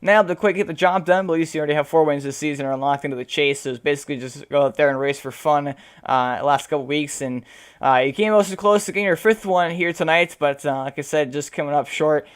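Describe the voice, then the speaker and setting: A man with a hoarse, fading voice interviewing sim racing drivers after a race, rambling with many fillers. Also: fairly high pitch, fairly even intonation, fast talker. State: losing his voice.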